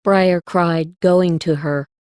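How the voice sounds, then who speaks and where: A woman's voice: on the low side, fairly even intonation, takes it slowly, darkish tone, smooth, dry recording. A woman narrating a scripted story as an audiobook reader.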